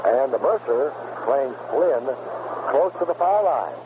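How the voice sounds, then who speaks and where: An American man calling baseball play-by-play on 1970s radio in short, unhurried phrases with pauses. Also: medium pitch, expressive. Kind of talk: live radio sports commentary, 1970s. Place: ballpark broadcast booth. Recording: dull, very noisy old AM radio broadcast.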